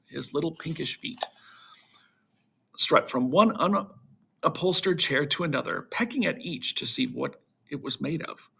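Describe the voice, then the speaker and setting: A man reading a children's storybook aloud to schoolchildren in an animated narrating style. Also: mid-range voice, vocal fry, slightly rough.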